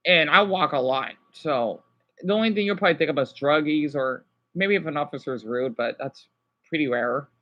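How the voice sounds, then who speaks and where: A man chatting casually to live viewers as a streamer, rambling and halting as he jumps between thoughts. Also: on the high side, lo-fi sound. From United States.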